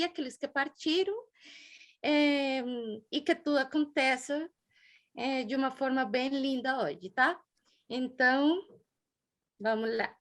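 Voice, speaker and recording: A Brazilian woman hosting a group gathering as mediator, speaking in a gentle, contemplative and affectionate way. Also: sits high. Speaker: event mediator and host. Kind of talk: heartfelt opening of a group gathering.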